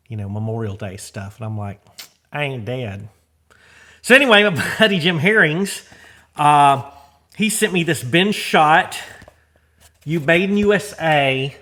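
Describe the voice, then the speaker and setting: A man's voice: mid-range voice, fairly bright tone. Casual, folksy middle-aged American man. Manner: informal, folksy, slangy. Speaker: host of a garage vlog. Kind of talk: casual rambling vlog talk.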